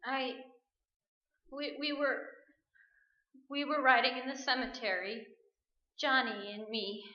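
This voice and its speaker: Very high-pitched, terrified young woman. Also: fairly even intonation, slow. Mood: dazed, shaken. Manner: faltering, repeats herself, breaks into screams. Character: terrified young woman in shock, dazed and hysterical. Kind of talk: staged radio play acting. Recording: roomy, dull live stage recording.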